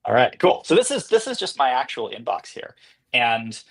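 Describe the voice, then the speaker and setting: A man speaking as an interview guest, explaining and demonstrating software in a casual, conversational style. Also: mid-range voice, big pitch swings, moderate pace, husky. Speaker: podcast guest, company co-founder and CEO.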